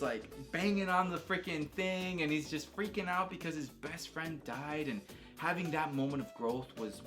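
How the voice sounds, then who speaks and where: Guy w kinda high voice chatting casually to viewers, hyped and slangy, music playing behind him. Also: fairly bright tone. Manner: enthusiastic, slangy, emphatic with repeated phrases. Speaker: video creator reviewing a game. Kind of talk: casual, unscripted opinion talk to viewers.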